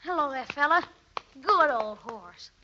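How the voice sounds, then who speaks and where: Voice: sits high, animated, a little slow, bright, forceful tone, exaggerated voice. An actor playing an eager, cheeky young boy in a 1940s radio comedy. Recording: dull, faintly noisy old radio recording.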